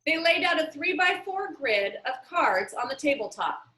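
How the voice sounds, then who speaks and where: Female storyteller, high and lively, expressive children's-book read-aloud, echoey room. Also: normal speed. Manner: animated, acting out dialogue.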